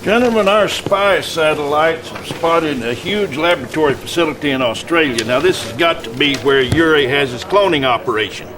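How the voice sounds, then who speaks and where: An older American military commander, acted by a man, talks in a folksy, plain-spoken and emphatic way in a game cutscene. His voice is a bit high for a man, over clearly audible noise. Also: lively intonation, normal speed, hardly any pauses, lightly acted. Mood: determined.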